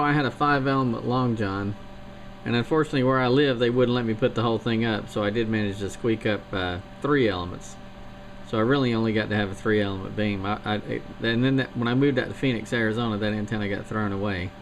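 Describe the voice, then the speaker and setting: Gravelly quick-talking man over radio static. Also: mid-range voice, low-quality recording. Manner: easygoing, technical talk. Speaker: amateur radio operator. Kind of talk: casual radio chat. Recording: hissy shortwave radio signal.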